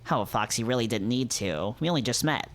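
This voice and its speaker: A man's voice: mid-range voice, lively intonation, a little fast, lightly acted. Man voicing a wry, bashful wolf man. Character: anthropomorphic wolf man, wry reluctant date. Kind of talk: visual novel character read-aloud.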